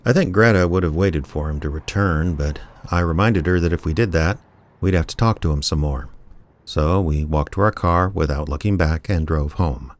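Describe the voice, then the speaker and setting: An actor playing a wry, weary male private investigator, deadpan and sardonic, in radio drama narration and dialogue. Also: rumbling low, moderate pace. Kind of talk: first-person noir narration and dry radio drama dialogue.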